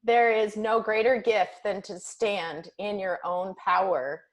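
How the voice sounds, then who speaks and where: A woman's voice: medium pitch, fairly even intonation, slow pace, rough recording. A woman hosting a cooking show interview, giving kitchen tips and encouraging her guest. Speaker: host. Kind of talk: casual interview chat.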